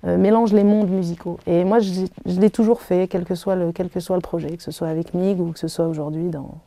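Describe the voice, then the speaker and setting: A woman in her thirties whose first language is Arabic, answering interview questions thoughtfully, with many pauses and restarts. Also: on the low side, fairly even intonation, mellow, no room sound. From Algeria. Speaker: singer being interviewed. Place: hotel room.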